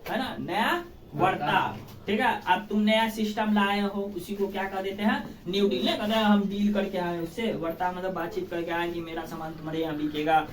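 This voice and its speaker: A woman's voice: mid-range voice, a little hiss or hum. A woman with a Bihari accent teaching an informal school lesson, colloquial and exhorting, often asking rhetorical questions and checking that pupils follow. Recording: echoey room, distant microphone.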